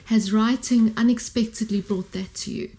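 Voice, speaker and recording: A woman's voice: a little high, fairly even intonation, normal speed, mellow, poor audio. A South African woman co-hosting a podcast, asking a long, searching question with hesitant phrasing in a studio. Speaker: podcast co-host. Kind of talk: interview questions. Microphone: studio condenser mic with headphones.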